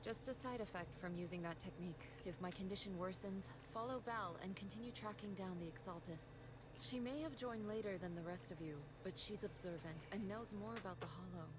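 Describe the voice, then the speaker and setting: An actress playing a calm, authoritative martial arts master in a video game, speaking in a measured, formal way. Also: female voice, medium pitch, noisy background, lightly acted. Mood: weary, composed. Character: composed woman martial master, calm, commanding, self-sacrificing. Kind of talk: dramatic video game voice acting.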